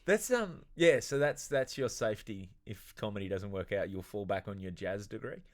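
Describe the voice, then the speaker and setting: Low-voiced man, teasing host. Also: lively intonation. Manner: curious, teasing, quick follow-up questions. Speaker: podcast host. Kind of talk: casual interview chat. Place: dark studio set, seated in armchair. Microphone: studio condenser mic on stand.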